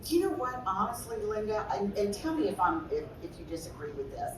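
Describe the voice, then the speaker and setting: A woman's voice: medium pitch, sing-song, fairly quick, echoey room, distant mic, slight background noise, poor audio. A woman interior designer on a trade-show panel, speaking forthrightly in a big voice with many restarts.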